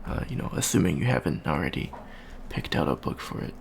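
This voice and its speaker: Gravelly, awkward young alien student voiced by a man. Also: low-pitched, lively intonation, a little fast, noisy background. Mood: awkward, chatty. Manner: hesitant, stammering, awkward small talk. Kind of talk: improvised ASMR roleplay, casual chat.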